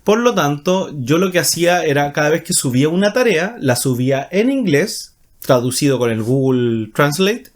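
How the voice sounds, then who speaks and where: A man's voice: fairly high pitch, lively intonation, moderate pace. A man with a Chilean Spanish accent talking casually and rambling, with many fillers and self-corrections as he explains his path as a writer. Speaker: writer and channel host. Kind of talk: casual monologue.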